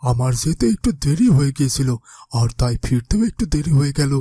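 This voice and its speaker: A man playing a deferential, apologetic royal spy with a husky voice in a fairy-tale cartoon. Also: mid-range voice, animated, fast, mellow, no echo, studio quality.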